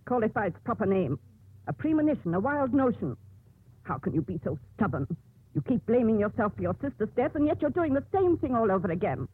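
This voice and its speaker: An actress playing a stern, authoritative older nun who runs a convent, with commanding, emphatic delivery in a 1950s radio drama. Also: female voice, a little high, very animated, fairly quick, breathy edge, no room sound. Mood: stern, reproving. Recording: muffled narrowband old radio recording.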